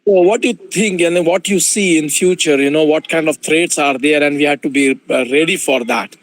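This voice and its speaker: A man's voice: a little high, a little fast. A man asking a long question at a conference, hesitant and full of fillers, heard over a video call. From India. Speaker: audience member asking a question.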